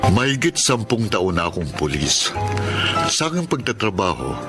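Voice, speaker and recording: Low-voiced, swaggering crooked cop. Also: male voice, sing-song, normal speed. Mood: confessional. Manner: swaggering, colloquial, bossy. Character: corrupt, greedy, lecherous veteran policeman. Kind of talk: radio drama acting. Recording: music under the voice.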